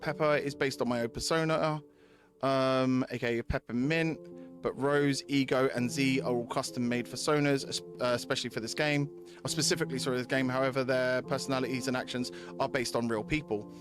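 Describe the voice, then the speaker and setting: A man's voice: medium pitch, fairly even intonation, a little fast, over music. A man live-streaming a game, chatting casually with viewers, repeating himself and reading story text aloud. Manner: repeats phrases while addressing chatters.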